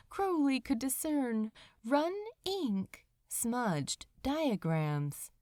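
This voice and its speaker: A woman reading fiction aloud as a narrator, with expressive, dramatic delivery. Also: a little high, no echo, clean studio sound. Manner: slow, expressive, dramatic storytelling. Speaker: audiobook narrator.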